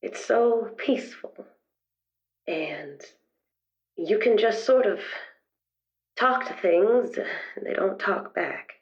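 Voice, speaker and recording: A woman playing a weary middle-aged therapist who loves gardening, musing haltingly and reflectively in improvised roleplay, gentle with a quiet bitterness. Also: mid-range voice, lively intonation, highs cut off. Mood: wistful, gentle. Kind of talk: improvised in-character roleplay for an actual play podcast.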